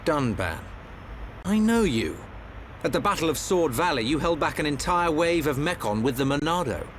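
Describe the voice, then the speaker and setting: A man's voice: fairly high pitch, big pitch swings, moderate pace, a bit bright. A man playing a haughty, dignified crown prince in a video game, speaking formally and imperiously. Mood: guarded, formal. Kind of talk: video game cutscene acting. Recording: faint ambient noise.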